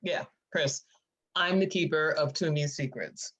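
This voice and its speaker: A woman's voice: low-pitched, a little slow. A woman acting a brash, playful, teasing best friend in a live dramatic script reading. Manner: animated, teasing. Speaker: actor in a screenplay table read. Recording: dull lo-fi audio.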